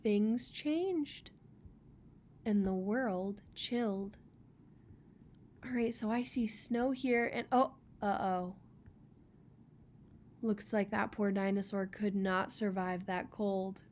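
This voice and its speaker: A woman's voice: medium pitch, slow pace, long pauses, a little mellow, slight background noise. A woman reading a children's picture book aloud as storyteller, expressively, with chatty casual asides.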